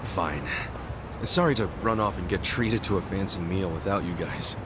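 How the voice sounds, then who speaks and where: A scrappy middle-aged gangster hero acted by a man with a lowish voice. He is blunt and heartfelt, a loyal type from a video game drama, recorded over faint ambient noise. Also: normal speed, close to an everyday voice. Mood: casual, cocky. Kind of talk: video game cutscene dub acting.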